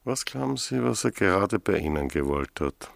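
An actor playing a wry, suspicious village police sergeant in a radio drama, with a dry, probing, gravelly delivery. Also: male voice, low voice, sing-song, normal speed, no room sound, heavily acted. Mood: quiet, probing.

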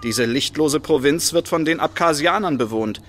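An actor playing a passing shepherd in a radio drama, explaining emphatically about a dark land. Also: male voice, on the high side, normal speed, background noise, close to an everyday voice. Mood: foreboding. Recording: faint outdoor ambience with bleating sheep.

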